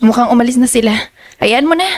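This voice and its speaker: A woman's voice: on the high side, fast talker, close to an everyday voice. A woman playing a carefree, teasing young tenant in a horror audio drama, with a light, playful manner. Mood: easygoing.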